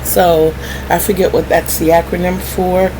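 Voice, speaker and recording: A middle-aged woman vlogger shares personal stories at a very slow pace. Her voice sits a little low, and she is candid and wry, acting out remembered exchanges. There is clear noise behind her throughout the recording. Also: little pitch movement. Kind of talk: candid personal storytelling to viewers. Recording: clearly noisy background hum.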